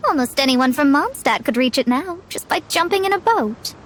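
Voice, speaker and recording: An actress playing a young, learned and proud astrologer in a video game, speaking in an articulate, formal way. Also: female voice, sits high, animated, normal speed. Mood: thoughtful, impressed.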